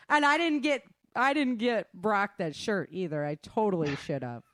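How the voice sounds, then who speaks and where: A woman's voice: medium pitch, lively intonation, takes it slowly, a bit bright, no room sound, studio quality. A middle-aged woman talking informally as a self-defense instructor, acting out remarks as she tells stories. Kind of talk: casual informal talk.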